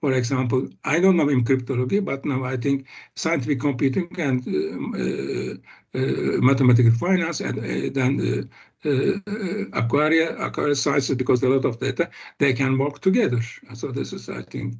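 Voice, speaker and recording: Halting older man on a video call. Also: medium pitch, lively intonation, gravelly, a little mellow, breathy edge. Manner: halting, many fillers and restarts. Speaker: seminar speaker. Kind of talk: academic seminar discussion. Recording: muffled video call audio.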